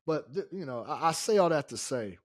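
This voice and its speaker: A middle-aged American man hosting a casual story time, speaking in an animated, indignant and emphatic way. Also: on the high side, brisk, no room sound, studio quality. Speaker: story-time show host.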